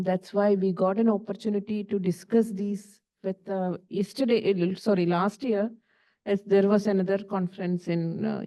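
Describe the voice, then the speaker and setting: A woman's voice: medium pitch, mellow, poor audio. A woman on a conference panel speaking earnestly about her university's work, with rhetorical questions and restarts. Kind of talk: panel discussion answer. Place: conference hall.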